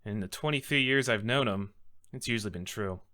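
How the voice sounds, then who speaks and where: A man's voice: lowish voice, fairly quick, sharp tone, voice pushed down. A man playing an earnest, nervous young rookie defense lawyer in a game script, hesitant in his delivery. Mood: earnest, reflective. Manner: hesitant, stammering.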